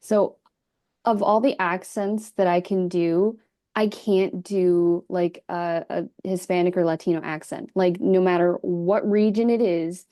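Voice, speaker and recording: A woman chatting casually in an author interview, quick and self-deprecating. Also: lowish voice, steady tone, warm tone, no room sound, studio quality. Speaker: guest author.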